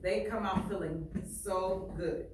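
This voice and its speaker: A woman teacher reading aloud and questioning her class in a deliberate way, repeating phrases for emphasis. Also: medium pitch, fairly even intonation. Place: indoor classroom. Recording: echoey room, far from mic, faint noise.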